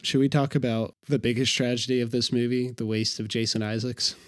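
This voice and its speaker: A man co-hosting a casual film review podcast, quick and opinionated. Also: mid-range voice, little pitch movement. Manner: quick, opinionated, with frequent laughs. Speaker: podcast co-host.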